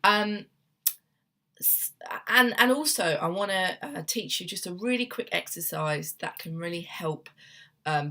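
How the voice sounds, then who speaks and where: A young woman therapist with a cold, talking casually to camera at her desk at home, gentle and meandering with many ums and restarts. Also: on the low side. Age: young adult. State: has a cold, sniffly. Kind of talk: casual self-help talk to camera.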